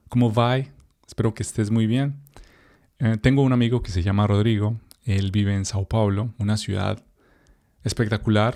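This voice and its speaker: A young Colombian man teaches Spanish, reading a text aloud in a relaxed, chatty way. His voice sits at a middle pitch and sounds dark and mellow. He drops in fillers and friendly asides to the viewer.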